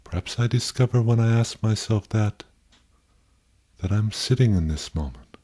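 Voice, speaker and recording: Low, mellow male meditation guide. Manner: gentle, contemplative, pausing, self-questioning.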